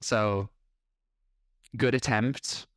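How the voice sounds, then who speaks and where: A man's voice: lowish voice, steady tone, drawn-out words, fairly bright tone, no room sound, studio quality. An Irish man in his thirties, a podcast host reacting to clips in a blunt, combative and sarcastic way. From Ireland. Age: 30s.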